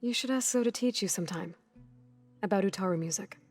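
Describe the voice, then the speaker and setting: An actress voices a young woman warrior in a video game, practical and quietly resolute. Her voice sits at an average pitch, her lines are plain and probing, and soft music plays behind her. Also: a little fast, lightly acted. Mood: calm, inquiring. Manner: plain-spoken, brief, probing. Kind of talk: video game cutscene dialogue.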